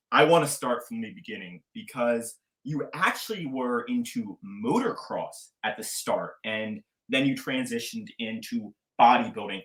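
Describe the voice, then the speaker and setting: A man's voice: medium pitch, fairly bright tone. Brief: young male host, eager and hyped, echoey room. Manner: enthusiastic, hype-style introductions. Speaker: podcast host. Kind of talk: casual interview talk.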